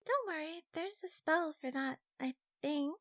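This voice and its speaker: A woman's voice: sits high, a little slow. A woman voicing a playful, teasing young fox girl mage in a fantasy audiobook. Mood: playful, reassuring. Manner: perky, teasing. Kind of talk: audiobook character acting.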